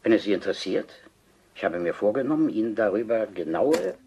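An actor playing a retired civil servant in a radio crime drama, an older man lecturing precisely and formally about his research in a husky voice. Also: on the low side, big pitch swings, brisk, warm tone, dull audio, slight background noise. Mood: earnest, lecturing. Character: retired civil servant, pedantic, eager amateur telepathy researcher.

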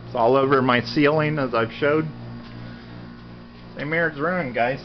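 A man's voice: medium pitch, a little slow, over music. American man in his fifties, dull lo-fi audio. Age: 50s. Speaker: vlogger, farm resident. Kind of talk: casual handheld walk-through commentary. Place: indoors, cluttered concrete basement. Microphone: handheld camera at arm's length. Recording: dull lo-fi camera audio, loud background sound. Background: loud continuous radio playing.

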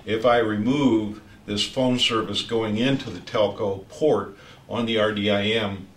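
Low-voiced older man, halting technical demo. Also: fairly even intonation. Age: 60s. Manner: halting, hesitant delivery with many pauses. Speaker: product trainer. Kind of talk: technical product demonstration. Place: small office meeting room, indoors. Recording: distant mic, echoey room, faint noise.

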